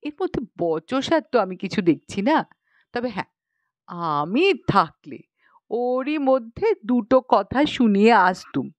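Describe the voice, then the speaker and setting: A woman's voice: a little high, animated, measured pace, no room sound. A woman voicing an aggrieved, bossy young matron in an audiobook story, chatty and indignant, full of rhetorical questions. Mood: defiant, bossy. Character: aggrieved, bossy young matron airing grievances about in-laws.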